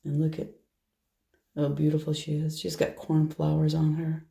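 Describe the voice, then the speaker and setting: A hoarse woman chatting through a tarot card reading, soft and meandering as she shuffles and lays out cards. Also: deep voice, completely flat delivery, moderate pace. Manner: soft, meandering, half to herself while handling cards. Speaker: tarot reader. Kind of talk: casual tarot reading monologue.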